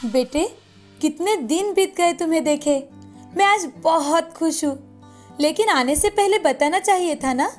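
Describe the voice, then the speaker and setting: A woman's voice: very high voice, measured pace. A woman voicing a doting, class-proud wealthy mother of a grown son in a cartoon story, with an emotional, exclaiming manner. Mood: affectionate. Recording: music bed behind the voice.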